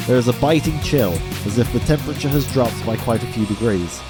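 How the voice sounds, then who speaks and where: A man's voice: medium pitch, a little fast. A man streaming a visual novel, reading it aloud theatrically and adding casual commentary. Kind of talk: live narration with casual commentary. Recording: game music behind the voice. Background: video game music.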